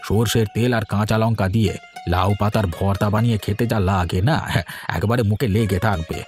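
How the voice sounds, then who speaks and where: A man's voice: lowish voice, steady tone, fairly quick, darkish tone, close to an everyday voice. A man voicing a contented old village husband in a cartoon, affectionate and coaxing in the way he talks. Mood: fond, contented. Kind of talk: animated cartoon dialogue. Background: music.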